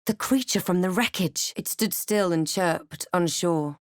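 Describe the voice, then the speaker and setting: A woman playing a wry, wary halfling adventurer in a fantasy video game, with a measured, dramatic delivery. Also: on the low side, no room sound, studio quality, lightly acted. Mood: wry, watchful. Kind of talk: scripted video game voice lines and narration.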